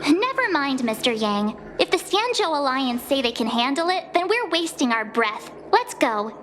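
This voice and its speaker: High, feisty teenage girl. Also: big pitch swings, normal speed, fairly bright tone, a little hiss or hum. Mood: huffy. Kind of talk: scripted video game dialogue. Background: faint background music.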